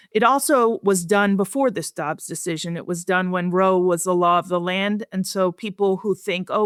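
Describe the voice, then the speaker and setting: Lower-voiced woman, explaining plainly. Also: no echo, studio quality. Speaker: expert guest, researcher. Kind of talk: explanatory research interview.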